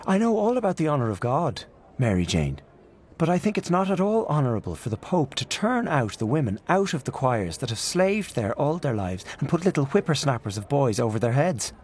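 A man's voice: mid-range voice, sing-song, normal speed, breathy edge, pitched above the performer's own voice. A man doing an indignant old aunt. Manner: emphatic, indignant. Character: indignant elderly aunt, fiercely opinionated.

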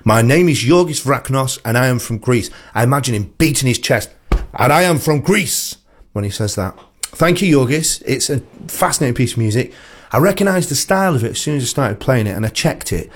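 A man's voice: medium pitch, lively intonation, fairly quick, a little hiss or hum. A man presenting a music show on the radio in a casual, chatty, rambling way, with playful self-deprecation.

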